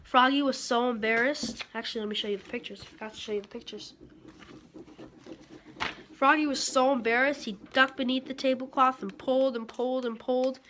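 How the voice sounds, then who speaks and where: A woman's voice: sits high, brisk, slight background noise. A woman reading a children's story aloud expressively, with casual asides. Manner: expressive, acts out sound effects. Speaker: picture book reader.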